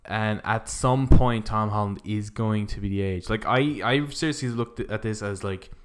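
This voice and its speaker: Lower-voiced man in casual podcast chat. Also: normal speed, clear, resonant tone. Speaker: podcast co-host. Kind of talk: casual conversational discussion.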